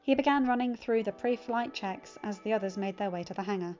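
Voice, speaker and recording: A woman's voice: medium pitch, a little fast, a little mellow. A woman reading a scripted story aloud as an audiobook narrator. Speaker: fan fiction reader. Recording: dull lo-fi recording, music underneath. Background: background music.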